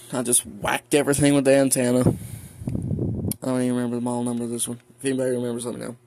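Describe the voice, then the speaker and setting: A man's voice: medium pitch, noisy background. An American man giving a casual show-and-tell vlog in a folksy, rambling way, muttering asides to himself as he goes. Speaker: hobbyist video host. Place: indoors in a room at home.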